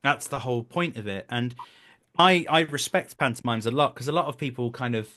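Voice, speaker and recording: A man's voice: mid-range voice, brisk, bright, forceful tone. A British man in his thirties chatting casually as an interview guest, explaining things and telling stories in a talkative way. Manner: chatty, explanatory, breaks into brief acted quotes. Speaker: guest, voice actor and stage performer.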